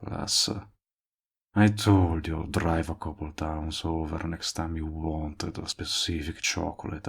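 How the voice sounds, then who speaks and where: A man's voice: deep voice, gravelly, darkish tone, slightly rough, full character voice. A man playing a cocky, lovestruck villain in an audio roleplay, teasing and coaxing the listener he is wooing. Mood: defensive, coaxing. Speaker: voice actor. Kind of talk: intimate audio roleplay acting addressed to the listener.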